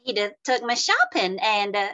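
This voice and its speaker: Folksy working woman for a small-town comedy, acted by a woman; bright voice, lively pitch. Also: moderate pace, dull audio. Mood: uneasy. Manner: folksy, informal. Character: plain-spoken small-town working women in a comic screenplay. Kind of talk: script table read. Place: indoor room at home, on a video call.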